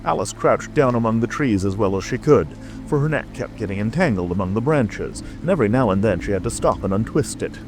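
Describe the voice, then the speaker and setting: Male audiobook narrator: lowish pitch, lively intonation, scripted storybook reading over clear background music. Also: a little fast, few pauses.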